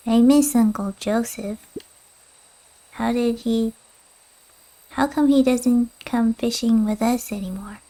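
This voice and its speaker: Young game boy, high soft mellow voice, unhurried, curious, wistful. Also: fairly even intonation, rough recording. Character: curious, earnest young boy who loves fishing. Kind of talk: video game voice acting.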